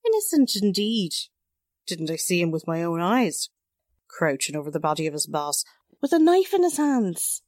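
Woman acting riled up working class lady in a 40s radio drama, lowish voice, folksy bad grammar, defensive, dry sound. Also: sing-song, normal speed, darkish tone, no room sound. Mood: indignant. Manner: folksy ungrammatical talk, indignant.

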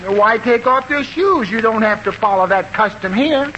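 An actor with an American accent playing a bumbling, jealous, excitable husband, stammering and blustering through a 1950 radio sitcom. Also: male voice, high voice, moderate pace. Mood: sarcastic, suspicious. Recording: muffled, noisy old radio recording.

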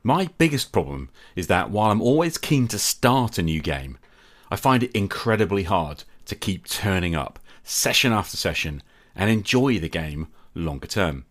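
A man's voice: sits low, very animated, normal speed. A middle-aged man hosting a solo podcast, reflective and candid with a wry, self-deprecating humour. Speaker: podcast host.

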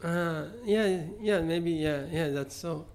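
Japanese-accented man, halting and filler-heavy. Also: a little high, darkish tone, clean tone. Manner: halting, many fillers and restarts. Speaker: visual artist, interview guest. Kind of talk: conversational interview.

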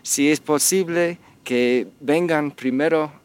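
A middle-aged man talks slowly as a guest, pausing often and filling gaps. His voice sits a little high for a man and sounds clean and resonant. He offers advice in a halting, easygoing way. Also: clear, resonant tone. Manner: slow, halting, many fillers. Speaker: guest, expat parent. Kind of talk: casual interview chat.

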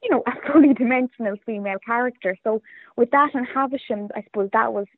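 Female interviewee, Irish accent, phone-band sound, dry; chatty, reflective, average pitch. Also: quick pace, no echo. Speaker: playwright and performer being interviewed. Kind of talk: casual reflective interview chat. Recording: tinny, phone-like remote line.

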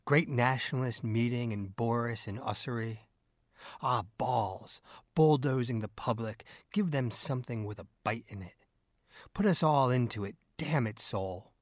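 A man voicing an excitable, scornful, bullying newspaper editor in an audiobook, with an emphatic, blustering delivery. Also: medium pitch, unhurried, dry recording, studio quality, lightly acted.

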